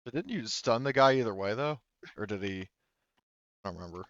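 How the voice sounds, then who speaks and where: A man's voice: lowish voice, animated, normal speed, no room sound, highs cut off. A man talking casually in a tabletop roleplaying game as game master, explaining things in a rambling way.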